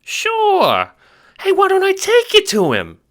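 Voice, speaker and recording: Squeaky little Italian-American wiseguy. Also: male voice, huge pitch swings, slow, heavily acted. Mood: eager, brash. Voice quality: squeaky, chipmunk-like. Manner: slangy gangster talk, brash. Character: short clumsy squeaky wiseguy guard, brash but good-natured. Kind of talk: audio drama character voice.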